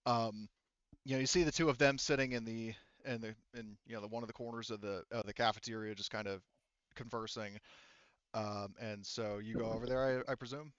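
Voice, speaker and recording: A man working as game master, narrating and refereeing a tabletop roleplaying game in a halting, informal, conversational way. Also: lowish voice, fairly even intonation, fairly bright tone, clear, resonant tone, no room sound, dull audio.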